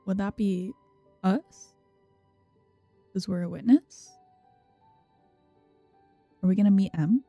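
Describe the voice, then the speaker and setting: Woman game streamer: unhurried casual commentary, close dry sound, game music behind. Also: medium pitch, dark tone, no room sound, highs cut off. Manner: giggly, muttering reactions to the game. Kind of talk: casual live playthrough commentary.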